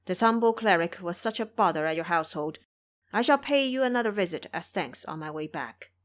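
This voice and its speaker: Lady doing a gentle humble monk guy, very polite and formal, expressive pitch, audio drama. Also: fairly quick, natural voice. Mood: humble, grateful. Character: gentle, courteous, humble male Buddhist monk master.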